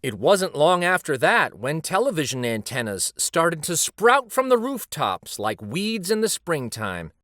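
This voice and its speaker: A man voicing a wistful, gentle old street storyteller from a children's picture book. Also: a little high, sing-song, measured pace, few pauses, no echo, clean studio sound, full character voice. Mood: nostalgic. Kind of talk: picture book read aloud.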